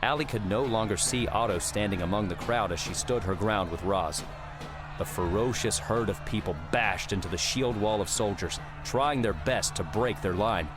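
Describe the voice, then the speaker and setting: A man's voice: fairly low pitch, normal speed. A man reading scripted adventure story narration as an audiobook narrator. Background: background music.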